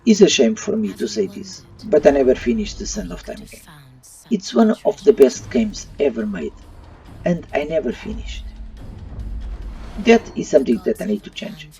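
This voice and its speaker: Animated non-native English-speaking man reviewing, mellow voice. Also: on the high side, normal speed, vocal fry. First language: not English. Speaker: host of a retro gaming video channel. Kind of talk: opinionated video review narration. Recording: distant mic, faint music underneath.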